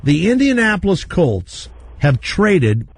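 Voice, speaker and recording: A man's voice: high-pitched, very animated, husky. Sarcastic male talk radio host. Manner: sarcastic, teasing, quick to laugh. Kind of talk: joking talk radio banter.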